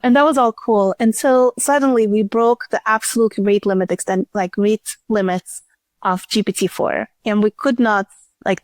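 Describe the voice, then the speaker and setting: A woman's voice: mid-range voice, dry recording. A woman giving casual, chatty interview answers as a startup chief executive, often restarting sentences and leaning on filler words. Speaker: startup CEO, interview guest.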